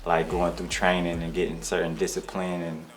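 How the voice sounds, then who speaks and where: Deep-voiced young man rambling casually. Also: normal speed. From Detroit, USA. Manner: relaxed, rambling, many restarts and slang. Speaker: rapper, interview guest. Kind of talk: casual interview.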